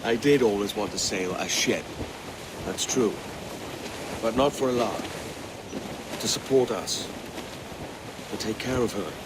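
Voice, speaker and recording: An actor playing a sea captain father who talks calmly, warmly and with gentle teasing, in a video game cutscene. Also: on the low side, measured pace, long pauses, close to an everyday voice. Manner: calm, warm, gently teasing. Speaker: ship captain. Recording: loud wind and ocean behind. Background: wind and waves at sea.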